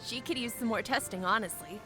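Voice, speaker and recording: A woman's voice: on the high side, fairly quick, over music, close to an everyday voice. A woman playing a confident, breezy young airship pilot with a casual, self-assured manner in a fantasy audio drama.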